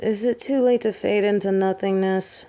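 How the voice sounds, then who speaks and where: A woman's voice: mid-range voice, steady tone, normal speed, mellow, lightly acted. A woman playing a snobby, stubborn tsundere angel in an audio drama, huffy and easily flustered. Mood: flustered, embarrassed. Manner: huffy, haughty, stammering when embarrassed. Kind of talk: audio roleplay drama acting.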